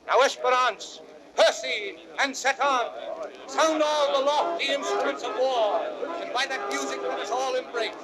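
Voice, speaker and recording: A proud young rebel warrior in a Shakespearean audio drama. His voice is notably high for a man. He declaims with intensity, brave and impatient. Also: moderate pace, a crowd in the background, low-quality recording. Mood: rousing. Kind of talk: classical Shakespearean radio drama acting.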